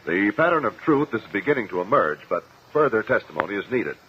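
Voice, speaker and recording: An actor playing a calm, methodical lawyer with a formal, probing manner in a 1950 radio courtroom drama. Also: male voice, lowish voice, brisk. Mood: formal, measured. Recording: muffled, hissy old radio recording.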